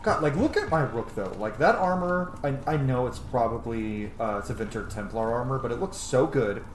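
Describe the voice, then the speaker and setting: A man's voice: mid-range voice, lively intonation, moderate pace. A man in his thirties giving casual, enthusiastic game commentary, musing aloud and swearing casually. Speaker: gaming streamer, let's play host. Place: indoor room at home. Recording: roomy echo, clear background noise.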